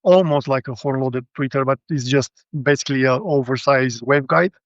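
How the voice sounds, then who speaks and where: Creaky-voiced Romanian man, halting, dull audio. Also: medium pitch, dry recording. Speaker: guest, hi-fi show visitor. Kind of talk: casual technical show rundown.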